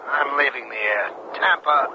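An actor playing a nervous, resentful hired killer, doubtful and edgy, in a 1970s radio drama. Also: male voice, medium pitch, a little slow, vocal fry. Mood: uneasy. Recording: muffled radio transmission, loud noise.

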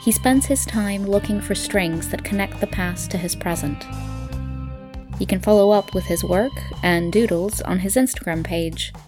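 A woman podcast host delivers a scripted introduction. Music plays clearly behind her voice as she presents. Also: mid-range voice, moderate pace.

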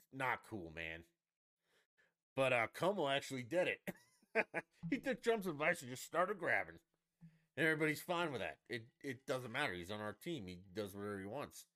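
A man hosting a solo podcast, ranting sarcastically with lots of swearing and mocking asides. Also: bright, forceful tone. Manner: mimics others in high mocking voices. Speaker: podcast host. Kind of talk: solo opinion rant.

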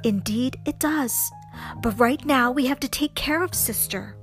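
A woman's voice: sits high, unhurried, fairly bright tone, faint music behind. A woman voicing a concerned, sensible and firm mother bear, caring and instructive, in a storybook reading.

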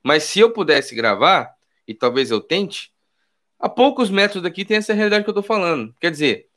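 Emphatic Carioca man, slightly high voice. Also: animated, moderate pace, fairly bright tone. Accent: Carioca Brazilian Portuguese. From Rio de Janeiro, Brazil. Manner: emphatic, repeats key phrases, slangy. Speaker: political YouTuber. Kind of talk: casual political commentary with his wife.

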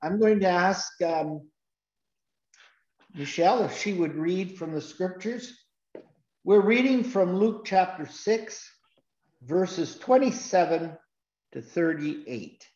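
A man leading a church service as pastor, talking in a slow, earnest way with frequent restarts. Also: high voice, expressive, darkish tone. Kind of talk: sermon. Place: church sanctuary. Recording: roomy, dull church recording.

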